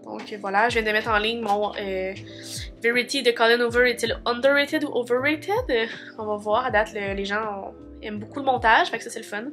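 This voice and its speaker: Young Québécoise vlogger, stuffy cold, rambling casual diary talk, faint music under. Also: female voice, on the high side, fairly even intonation, normal speed, fairly bright tone. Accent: Québécois French. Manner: chatty, many fillers like "fait que" and "tu sais". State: congested from a cold.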